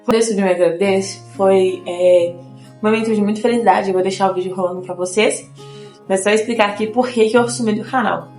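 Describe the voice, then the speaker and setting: A woman's voice: medium pitch, fairly even intonation, a little fast, a little mellow. A young woman talks casually to her viewers, her voice a little nasal from a lingering cold. She keeps repeating phrases as she chats. The room is echoey, and faint guitar music plays underneath. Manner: chatty, repeats herself, often addresses viewers as "gente". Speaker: vlogger. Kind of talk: casual chat to camera.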